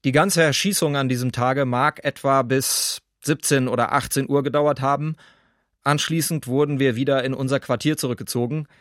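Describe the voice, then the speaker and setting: A man's voice: medium pitch, fairly even intonation, moderate pace, fairly bright tone, no room sound, studio quality, natural voice. A man playing a German perpetrator of wartime shootings, giving sober, self-pitying testimony in a documentary radio drama. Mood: sober, matter-of-fact.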